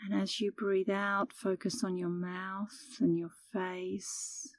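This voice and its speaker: A middle-aged woman leading a guided meditation in a calm, soothing, unhurried manner, as an instructor speaking close to the microphone. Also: medium pitch, no room sound.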